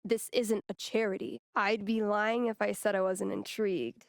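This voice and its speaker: A woman's voice: medium pitch, little pitch movement, moderate pace, creaky, no echo, studio quality, close to an everyday voice. A woman voicing a haughty, mysterious fantasy magic-item merchant in a video game role-play, formal and pompous. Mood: mysterious, aloof.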